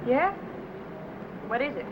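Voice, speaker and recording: An actress playing a selfish, hard-boiled American femme fatale in a 1950 film noir, slangy, cool and brazen. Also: female voice, high-pitched, sing-song, slow, exaggerated voice. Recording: dull old film soundtrack, faint noise.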